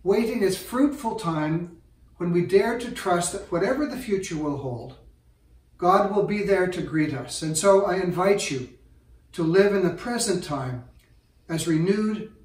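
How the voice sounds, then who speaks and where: An older male pastor speaks in a somewhat high-pitched voice. He delivers his prayer and sermon deliberately, in short, measured clauses. The room adds a clear echo around his words. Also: animated. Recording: roomy, echoing indoor sound.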